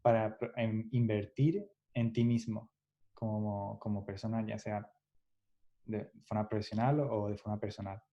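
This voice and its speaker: Mellow-voiced man, thoughtful. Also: lowish voice, fairly even intonation, a little slow. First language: Spanish. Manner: reflective, restarts phrases often, laughs lightly. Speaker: guest developer. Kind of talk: casual podcast interview. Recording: dull lo-fi podcast audio.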